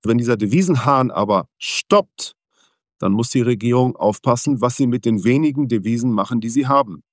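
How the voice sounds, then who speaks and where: A man who grew up in Venezuela speaks as a business guest in an interview. He explains things in a steady, measured way at a medium pitch. The recording is dry and close, with no room sound. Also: dry recording. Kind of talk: interview answers.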